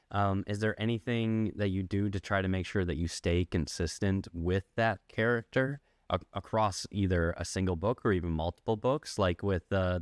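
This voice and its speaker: A man's voice: deep voice, dry recording, studio quality. A man hosting a podcast, asking casual interview questions.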